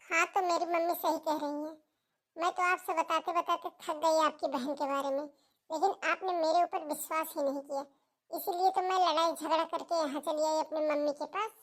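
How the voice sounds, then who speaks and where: A woman's voice: very high voice, steady tone, moderate pace. A woman voicing a stubborn, complaining young married daughter in a cartoon family drama, with an aggrieved manner. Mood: defiant.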